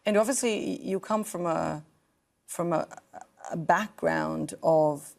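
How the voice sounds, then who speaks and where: A woman hosting an interview show, asking questions in a measured, halting way. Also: on the low side, creaky, darkish tone. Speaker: interview host.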